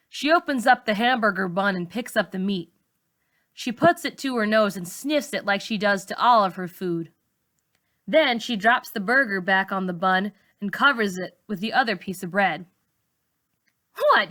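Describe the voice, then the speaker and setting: Narrator: woman, teacher-style read-aloud of a novel, expressive, with a crisp bright edge and medium pitch.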